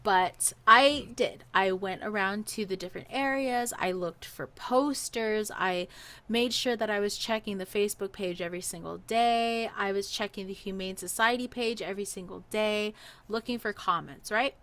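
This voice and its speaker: A woman's voice: mid-range voice, normal speed. A woman telling a personal story in a casual podcast style, animatedly acting out what people said. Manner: animated, acts out quoted dialogue.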